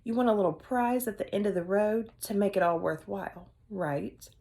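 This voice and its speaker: A woman's voice: medium pitch, normal speed, dark tone, lightly acted. A woman voicing a cheerful, playful woman schoolteacher who coaxes her class with upbeat questions. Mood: coaxing. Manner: upbeat, coaxing teacher questions.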